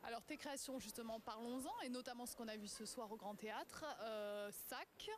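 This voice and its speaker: A woman reporter interviewing on location at an event, chatty and pausing often as she forms her questions. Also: on the high side. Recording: crowded event, clear crowd noise. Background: crowd at event.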